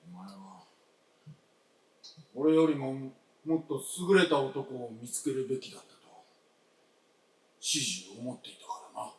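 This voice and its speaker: A man's voice: medium pitch, animated, a little slow, long pauses, lightly acted. A man playing a self-doubting, blunt and resigned husband in a radio drama, with terse, old-fashioned masculine speech. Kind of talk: staged radio drama acting. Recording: echoey stage, distant mic.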